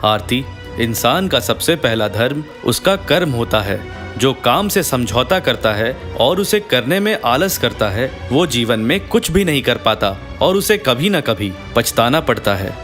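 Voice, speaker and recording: A reproachful, sensible husband in a cartoon family drama, acted by a man. His mid-pitched voice is earnest and lecturing, with music playing underneath. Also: big pitch swings, measured pace, fairly bright tone. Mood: stern, earnest. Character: weary, reproachful husband, a sensible adult son. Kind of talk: Hindi cartoon moral story dialogue.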